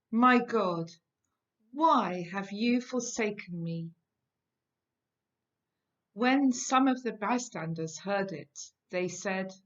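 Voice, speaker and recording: Middle-aged woman, solemn scripture reading, unhurried, dull webcam sound. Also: on the high side, a bit bright. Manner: measured, deliberate phrasing. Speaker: lay scripture reader. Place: home kitchen, indoors. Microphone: webcam at about arm's length.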